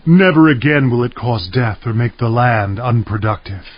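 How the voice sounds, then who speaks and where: An actor playing a fervent, commanding Hebrew prophet in a dramatized scripture reading, solemn and declamatory. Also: male voice, medium pitch, animated, normal speed, lightly acted. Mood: solemn proclaiming. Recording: muffled audio over faint music.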